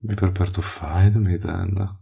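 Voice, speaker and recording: Very deep, gravelly villain played by a man. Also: steady tone, warm tone, heavily acted. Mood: possessive, smug. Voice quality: gravelly, husky, breathy. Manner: slow, teasing, drops into whispers. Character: smug, possessive male villain, flirtatious and menacing. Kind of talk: roleplay audio acting, intimate monologue.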